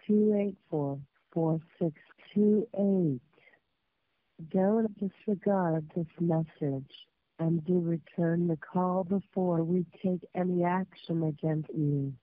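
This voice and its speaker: Female voicemail caller, official and formal, very slow delivery, thin telephone sound. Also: fairly low pitch, no echo. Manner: formal, measured. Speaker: scam robocaller posing as tax officer. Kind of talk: scripted voicemail message. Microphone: telephone line. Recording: tinny, narrow phone voicemail.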